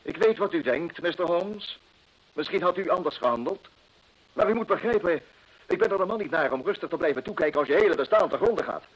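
An actor playing a proud, cornered gentleman in a radio drama, formal and self-justifying as he defends his desperate deed. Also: high-pitched, lively intonation, brisk, breathy edge. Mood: indignant, defensive. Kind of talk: Dutch radio drama acting. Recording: muffled vintage radio play recording.

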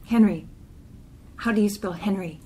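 A woman reading a literary poem aloud in deliberate, clipped phrases. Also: mid-range voice, warm tone, a little hiss or hum, poor audio. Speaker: poetry reader.

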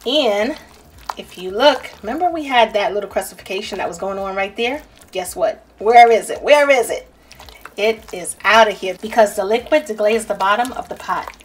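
A woman's voice: mid-range voice, normal speed, breathy edge. A woman narrating a recipe casually as a cooking host, chatty and playful, talking straight to viewers.

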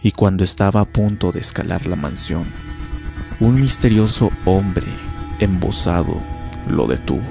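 A man's voice: sits low, lively intonation, unhurried, vocal fry, over music. A man narrating a historical legend as scripted, dramatic storytelling.

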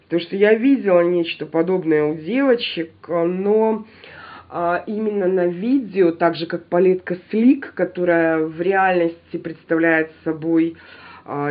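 A woman's voice: lowish voice, measured pace, narrow, tinny audio. A woman with a stuffy nose from a cold, chatting casually to her viewers as she shows off a shopping haul at home. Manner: chatty, animated, addresses viewers directly.

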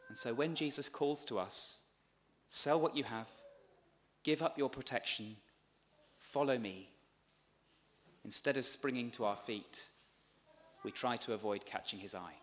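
A man in his thirties, a priest, delivers a scripted sermon in a measured, earnest way from a church pulpit. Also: mid-range voice. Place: large stone church, at a pulpit. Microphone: gooseneck lectern microphone. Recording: long church reverb.

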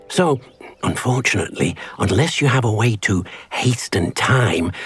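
An actor playing a gentle, earnest, small elderly house-elf servant in a video game, who speaks of himself in the third person. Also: male voice, lowish voice. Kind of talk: scripted video game character acting.

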